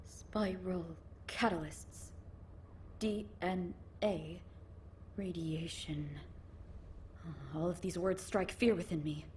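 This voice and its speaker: A woman's voice: fairly low pitch, fairly even intonation, takes it slowly, long pauses, a little mellow. A woman playing a proud crusader warrior in a video game, speaking in formal, old-fashioned, grave language. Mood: uneasy. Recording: distant, echoey, noisy game audio.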